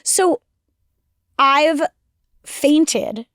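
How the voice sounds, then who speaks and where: A young woman with a raspy voice co-hosting a casual podcast, rambling with restarts and lots of "like". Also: high-pitched, extremely slow, a bit bright, no echo, clean studio sound. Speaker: podcast co-host. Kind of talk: casual chat.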